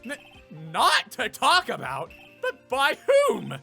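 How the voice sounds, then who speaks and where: A man performs a young male defense attorney from a courtroom game. He sounds earnest and easily flustered, pressing and stammering in disbelief. His pitch jumps about in a lively way, with faint music underneath. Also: takes it slowly, gravelly, exaggerated voice. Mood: incredulous shock.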